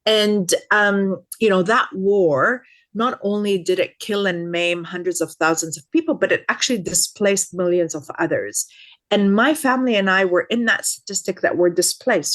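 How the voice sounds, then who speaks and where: A woman in her fifties from Lebanon, speaking as a podcast guest. Her voice sits a little low. She talks with earnest insistence and stacks up repeated words for emphasis. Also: a bit bright. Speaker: guest expert. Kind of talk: podcast interview.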